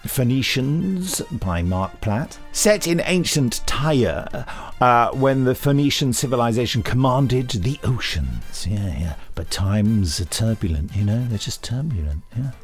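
Male podcast co-host, animated pitch, casual banter, release news, light music underneath. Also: unhurried.

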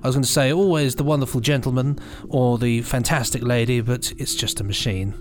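A man's voice: medium pitch, steady tone, a little fast. A man chatting as a podcast co-host with playful, rambling banter. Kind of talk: casual chat.

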